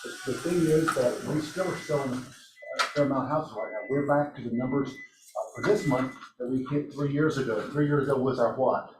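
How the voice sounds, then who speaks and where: A man's voice: medium pitch, fairly even intonation, normal speed. A man leading a team meeting in a meeting room, upbeat and encouraging, asking the room questions. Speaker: real estate office leader running a team meeting. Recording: echoey room, from a distance, faint noise.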